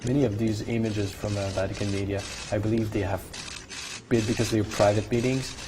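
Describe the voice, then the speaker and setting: A man's voice: fairly low pitch, fairly even intonation, fairly quick. A French Canadian man, a native French speaker, giving conversational live studio analysis and searching for his words. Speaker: studio commentator, French-language coverage host. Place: broadcast studio. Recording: clear background noise.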